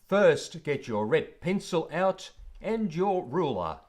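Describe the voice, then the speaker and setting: Man acting out a slow, stern, sneering schoolteacher. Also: a little high, sing-song, a little mellow, voice pushed up. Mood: instructing, formal. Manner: slow, commanding classroom instruction. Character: stern, sneering male primary school teacher, bullying and contemptuous.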